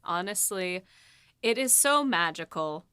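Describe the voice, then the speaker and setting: A young woman co-hosting a podcast as a writer, chatting casually and gushing with enthusiasm, often tripping over her words when excited. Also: lowish voice, unhurried, clear, resonant tone, dry recording, clean studio sound. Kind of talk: casual chat.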